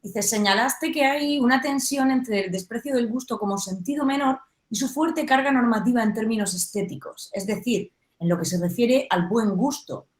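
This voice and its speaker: A woman's voice: on the high side, moderate pace, hardly any pauses. A woman from Spain with a Peninsular Spanish accent, moderating an online academic panel, reading questions and closing the session. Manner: friendly, formal wrap-up with fillers. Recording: echoey room audio.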